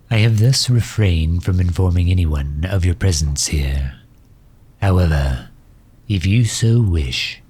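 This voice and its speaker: A man playing a courtly megalomaniac villain in an ASMR audio drama, speaking formally and with measured, gentle solicitude. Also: full character voice. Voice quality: husky, low. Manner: formal, measured, silky endearments.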